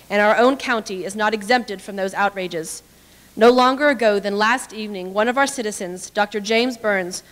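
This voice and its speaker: A young woman gives a formal reading of a historical report. Her voice is moderately high, and it rings through a large hall with lingering reverb. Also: fairly bright tone. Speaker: dramatic reader. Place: large indoor hall with brick walls. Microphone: podium microphone. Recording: reverberant hall, podium microphone.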